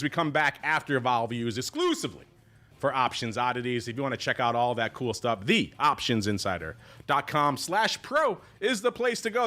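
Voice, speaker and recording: Guy hosting a podcast, kinda high and bright, rambles and jokes a lot. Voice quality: deep voice. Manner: jokey, rambling. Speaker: podcast host. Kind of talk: casual chat.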